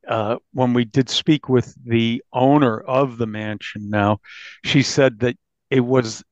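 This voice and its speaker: A man's voice: medium pitch, no echo, dull audio. A man speaking as an interviewed newspaper reporter, explaining his reporting conversationally.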